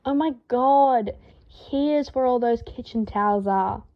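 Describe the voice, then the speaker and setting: A woman reads as an easygoing young man in an audio story, fairly high in pitch. He sounds breathless and amused, quick to plead, with a slightly muffled recording. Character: easygoing young man, amused, defending and coaxing his pet.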